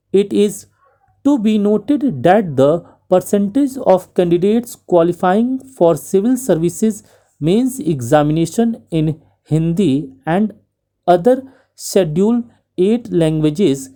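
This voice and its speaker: A woman with an Indian English accent reading a speech aloud as shorthand dictation, slowly and deliberately, phrase by phrase with pauses. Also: lowish voice, warm tone. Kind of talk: slow measured dictation of a speech.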